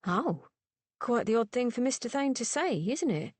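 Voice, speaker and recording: A woman voicing a gawky, awkward young gentleman who chatters eagerly. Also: fairly high pitch, lively intonation, normal speed, dry recording, voice pushed up. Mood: chatty.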